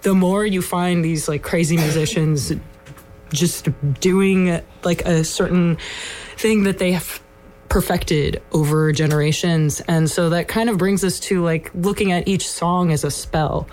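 A woman's voice: on the low side, fairly even intonation, measured pace, a little mellow, poor audio. A woman from Ukraine, a band member, chatting casually about her band's music with lots of fillers like "like" and "um". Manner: rambling, many fillers like "like" and "um". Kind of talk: casual on-air chat.

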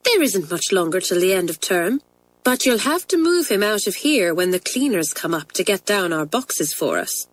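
Storybook schoolgirl, read by a female narrator: mid-pitched, practical, frank, matter-of-fact. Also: sing-song, moderate pace.

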